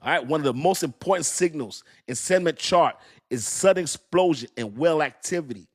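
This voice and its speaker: A man's voice: a little high, animated, a little fast. Hyped slangy man hosting a crypto stream. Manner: hyped, repetitive, slangy, talks straight to chat. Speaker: crypto livestream host. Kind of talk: excited casual livestream talk to chat.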